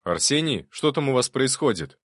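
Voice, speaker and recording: A man's voice: medium pitch, sing-song, moderate pace, a bit bright, no room sound, studio quality, voice pushed up. A man voicing a wry, commanding male baron who questions his men sternly in an audiobook. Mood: stern, alarmed.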